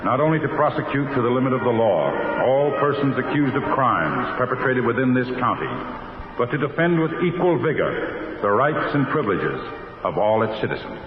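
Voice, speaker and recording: A man's voice: mid-range voice, very animated, normal speed, lightly acted. An American man playing a resolute district attorney who narrates a 1950s radio crime drama in a solemn, declamatory manner. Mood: solemn resolve. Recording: muffled vintage radio recording, loud music behind.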